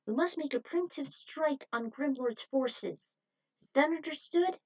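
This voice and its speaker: A woman's voice: sits high, measured pace, darkish tone, pitched above the performer's own voice, rough recording. A woman voicing a young male squad leader who gives urgent, encouraging orders in an action story. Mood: commanding, encouraging. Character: commanding young male squad leader rallying his troops.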